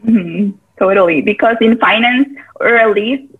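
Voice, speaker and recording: A woman's voice: fairly high pitch, a little slow, breathy edge. A woman from Rica, a guest chatting casually over a video call with lots of "like" fillers and loose grammar. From Costa Rica. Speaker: guest, part-time yoga teacher. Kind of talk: casual interview chat. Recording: phone-like call audio.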